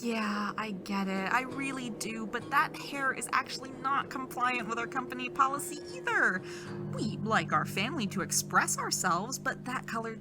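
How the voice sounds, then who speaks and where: A woman's voice: on the high side, normal speed, close to an everyday voice. A woman playing a falsely cheerful, patronizing corporate manager in an audio drama, speaking in scripted polite phrases. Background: music.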